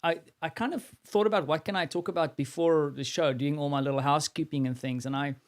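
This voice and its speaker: A man's voice: fairly high pitch, quick pace. A man with a South African accent hosting a live stream, talking casually and rambling with many fillers and restarts.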